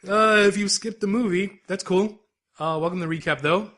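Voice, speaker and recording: A man's voice: sits high, normal speed, fairly bright tone. A young Canadian man co-hosting a casual podcast, rambling in a laid-back way and laughing often. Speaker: podcast co-host.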